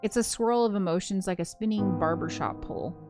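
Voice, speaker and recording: A woman's voice: medium pitch, fairly quick, natural voice. A woman reading a brooding, hazy-headed young ghost girl's first-person narration from a visual novel. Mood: tender, puzzled. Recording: prominent background game music.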